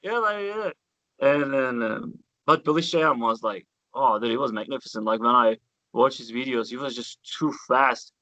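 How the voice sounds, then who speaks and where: A man with a Turkish accent, a bassist guest telling stories in a relaxed interview with loose grammar and casual swearing. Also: normal speed, no echo, dull audio. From Turkey.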